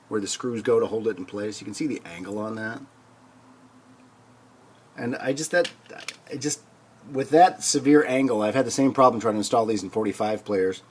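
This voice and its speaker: A man hosting a hands-on repair video, explaining things informally and thinking aloud with hesitant pauses. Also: on the low side, husky. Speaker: host of a record player restoration video. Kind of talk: casual hands-on demonstration.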